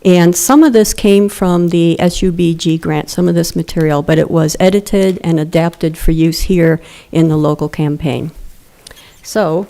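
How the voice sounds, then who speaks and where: A woman presenting at a town council meeting, quiet and soft-spoken at a podium microphone. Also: fairly low pitch, steady tone, measured pace. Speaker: grant program presenter.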